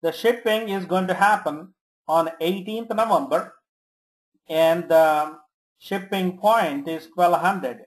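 A software trainer giving step-by-step instructions in short commands, checking in often during a screen tutorial. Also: mid-range voice, slow, low-quality recording. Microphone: screen-recording voice-over.

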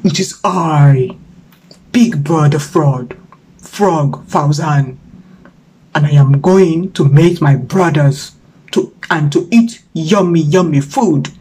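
A woman voicing a big, hungry older brother frog in a children's story, speaking slowly and deliberately. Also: low-pitched, dark tone, a little hiss or hum, pitched below the performer's own voice, rough recording. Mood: proud. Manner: slow and deliberate.

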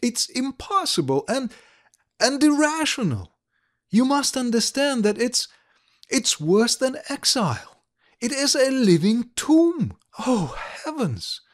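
A man's voice: high-pitched, very animated, slow, voice pushed up, exaggerated voice. A man reading aloud, voicing an agitated young noblewoman who pleads urgently with a guest.

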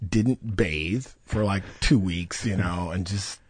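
Man in his sixties, from Detroit, husky voice, low pitch, animated storyteller, dry close mic. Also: slow, no room sound. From Detroit, USA. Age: 60s. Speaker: actor guest. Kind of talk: casual interview chat.

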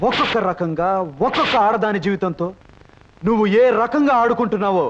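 Brief: indignant righteous young man, quick high voice, dull old film audio. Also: expressive, noisy background. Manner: fast, indignant scolding.

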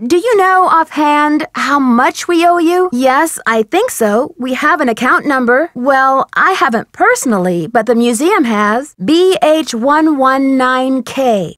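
A polite young woman detective from a mystery video game. Her voice sits high and moves animatedly up and down. She words things neatly and with curiosity, in a very dry recording. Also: fairly bright tone, dry recording. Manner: polite, inquisitive, clearly enunciated lines.

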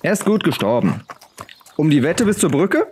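A man's voice: fairly high pitch, sing-song, moderate pace, darkish tone, slight background noise, pitched above the performer's own voice. A man voicing a bold, brash teenage noble youth with a challenging manner, in a fantasy audiobook. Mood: defiant.

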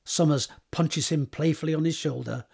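A man's voice: fairly high pitch, normal speed, creaky, darkish tone, breathy edge. A man reading scripted stage directions as the narrator of an audio drama.

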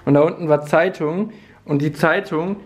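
A man's voice: on the high side, moderate pace. A young man in his twenties, chatting casually and making dry jokes. He sounds a bit off the camera, inside a house under renovation, and he has a slight cold. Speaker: partner co-hosting. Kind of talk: casual vlog chat. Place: house being renovated, indoors.

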